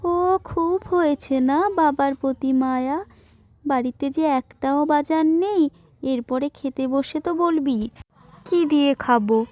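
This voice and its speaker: A woman's voice: high-pitched, measured pace, a little mellow, no room sound. A woman voicing a practical, bossy village wife and mother in a cartoon, with a directive manner. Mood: scolding. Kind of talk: cartoon voice acting.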